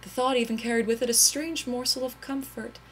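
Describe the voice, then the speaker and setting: A woman's voice: a little high, fairly even intonation, fairly quick, a little hiss or hum. A woman giving a melodramatic dramatic reading of overwrought prose, with a theatrical, mock-tragic and emphatic delivery. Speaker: reader.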